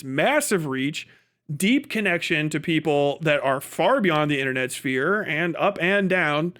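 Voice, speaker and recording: High-pitched man ranting, profane and exasperated. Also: fairly bright tone. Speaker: livestream commentator reacting to clips. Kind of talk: casual unscripted political rant.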